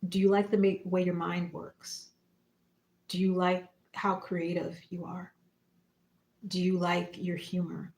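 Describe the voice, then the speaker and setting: A woman hosting a spiritual livestream, talking casually and reflectively in short phrases and gentle prompts with pauses. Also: fairly low pitch, completely flat delivery, a little hiss or hum. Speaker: psychic medium and spiritual teacher hosting a livestream. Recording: roomy, echoing room sound.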